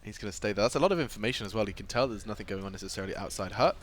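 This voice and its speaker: A man's voice: on the low side, racing. A man commentating live on a video game match, mixing play-by-play with casual banter. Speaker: esports commentator.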